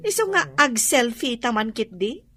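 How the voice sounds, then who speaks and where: Very high-pitched, breezy Ilocano office friend. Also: female voice, animated, slow pace, background noise. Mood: breezy, gushing. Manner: gushing, giggly. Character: breezy, pleasure-loving female office friend. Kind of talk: radio drama acting.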